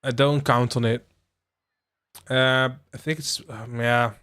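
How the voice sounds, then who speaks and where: A young man from the Netherlands hosting a relaxed game livestream, rambling with lots of fillers and asides to his chat. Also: mid-range voice, slow pace, clean tone. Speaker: livestream host. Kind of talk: casual live-stream chat while playing a game.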